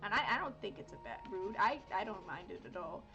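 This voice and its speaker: A woman's voice: mid-range voice, big pitch swings, brisk, lo-fi sound, music in the background. A woman in her thirties from Miami, chatting casually while drawing on a livestream, rambling with lots of fillers and self-corrections. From Miami, United States. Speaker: art streamer.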